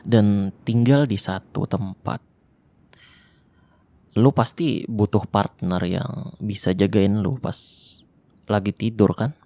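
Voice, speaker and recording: Man playing a gravelly, protective zombie-apocalypse survivor. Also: lowish voice, steady tone, slow pace, pauses often, no room sound. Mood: gentle teasing. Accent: Jakarta colloquial. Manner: soft, teasing, reassuring. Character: tough male ex-special-forces zombie survivor, protective, flirty. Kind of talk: ASMR roleplay.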